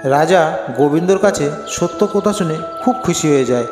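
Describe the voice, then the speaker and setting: Male cartoon narrator, high pitch, scripted storytelling, long reverb, faint music. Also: animated, moderate pace.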